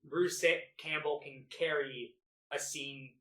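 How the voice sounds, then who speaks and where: A man with a fairly high voice co-hosts a casual movie podcast. He rambles enthusiastically and swears freely. The room around him gives the recording a clear echo. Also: fairly even intonation, slow pace, sharp tone. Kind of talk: casual chat about favorite films. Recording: roomy, echoey home podcast recording.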